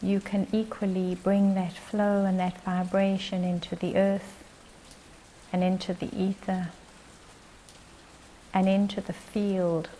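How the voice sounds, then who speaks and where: A woman leading a guided meditation as its guide, speaking in gentle, short phrases with long pauses. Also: mid-range voice, level tone, a little hiss or hum, poor audio.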